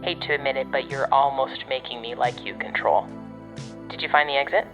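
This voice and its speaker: A woman's voice: rumbling low, steady tone, a little fast, muffled recording, music in the background. A woman playing a blunt, sardonic, grudgingly fond guide in an audio drama, with a dry manner. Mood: wry, grudging.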